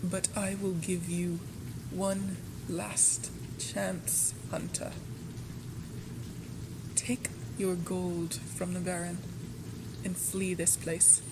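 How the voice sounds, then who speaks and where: Slow, imperious vampire baroness played by a woman. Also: on the low side, little pitch movement, noisy background. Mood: cold, stern. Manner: imperious, measured, deliberate delivery with dramatic pauses. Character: proud vampire baroness, menacing yet secretly tender. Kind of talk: scripted ASMR roleplay, slow and theatrical.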